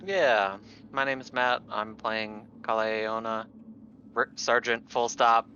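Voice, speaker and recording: Slow, bright-toned male player, muffled lo-fi mic. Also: mid-range voice, steady tone, slight background noise. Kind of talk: casual chat.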